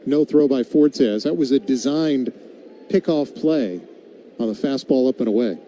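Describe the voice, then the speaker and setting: A man's voice: medium pitch, unhurried, mellow. A man doing live radio play-by-play commentary of a baseball game as the announcer. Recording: background noise of an outdoor ballpark.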